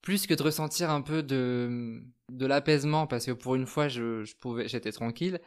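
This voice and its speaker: Young male guest from France, soft and thoughtful, halting delivery, mid pitch. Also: smooth. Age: 20s. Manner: soft-spoken, hesitant, thoughtful. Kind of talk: reflective personal testimony.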